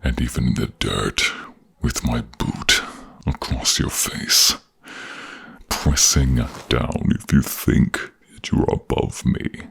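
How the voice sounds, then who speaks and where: A man playing a menacing, ambitious villain in an audio drama, taunting a captive slowly and theatrically. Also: a bit bright, heavily acted. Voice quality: gravelly, very low. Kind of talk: dramatic audio role-play acting.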